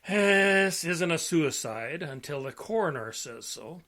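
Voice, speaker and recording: An actor playing an insistent police lieutenant in a radio detective play, brusque and probing. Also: male voice, a little high, lively intonation, slow, pushed and bright, heavily acted. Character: insistent, needling male police lieutenant questioning suspects. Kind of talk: old-time radio detective play acting.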